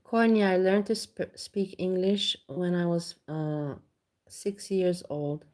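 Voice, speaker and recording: Low-voiced woman. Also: steady tone, takes it slowly, dark tone. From Austria. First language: German. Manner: rambling, candid, swears casually. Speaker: vlogger. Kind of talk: casual chat.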